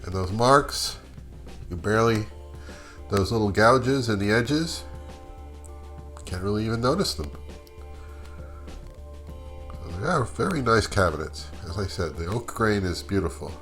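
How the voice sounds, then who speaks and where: A middle-aged man giving a casual, matter-of-fact how-to demonstration in a relaxed, conversational way, as a hobbyist vlogger. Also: on the low side, measured pace, long pauses, faint music behind.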